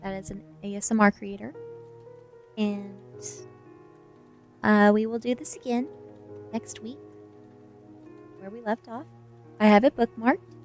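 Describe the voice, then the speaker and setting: A woman's voice: medium pitch, fairly even intonation, measured pace, pauses often, darkish tone, faint music behind. A woman streamer who does ASMR book readings, speaking to her online audience. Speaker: streamer reading a novel aloud. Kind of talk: ASMR book reading, dramatic first-person narration; casual chat to stream viewers.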